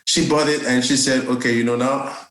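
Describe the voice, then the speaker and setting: A man's voice: mid-range voice, fairly even intonation, brisk, fairly bright tone. A man from Cameroon, a guest on a podcast, telling his story in a hesitant way with lots of fillers and laughter. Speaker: guest, jazz bass player. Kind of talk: casual interview chat. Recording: echoey room.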